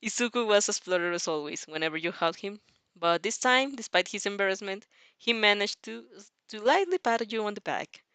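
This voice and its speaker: A woman's voice: medium pitch, animated, brisk, a bit bright, clear, resonant tone, no room sound. A woman reading fan fiction aloud expressively, with casual asides to her listeners. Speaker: reader and fanfic reactor. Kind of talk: expressive story narration with casual reaction asides.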